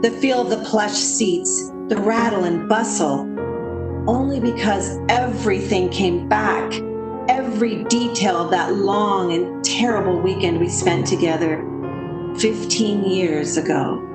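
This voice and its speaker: A woman's voice: medium pitch, unhurried, lightly acted. Woman acting earnest radio drama friend, echoing room. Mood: haunted, reflective. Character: sensible, worried woman friend, haunted when narrating the past. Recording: echoing radio play recording with music behind.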